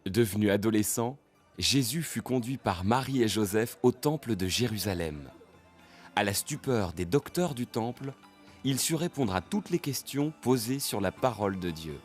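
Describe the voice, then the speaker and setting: Low-voiced male Bible story narrator. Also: animated, soft background music. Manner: formal, measured. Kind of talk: scripted storytelling narration of animated Bible film.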